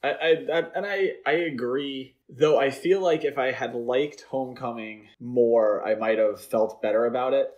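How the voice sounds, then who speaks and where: A man's voice: medium pitch, moderate pace, few pauses, a little mellow, dull audio. A man hosting a podcast, chatting casually about films in a rambling, opinionated way. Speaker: podcast host. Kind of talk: casual film discussion chat.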